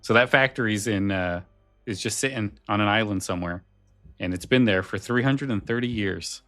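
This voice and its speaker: Casual, lowish-voiced male podcast co-host. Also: moderate pace, fairly bright tone, soft background music. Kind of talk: casual chat.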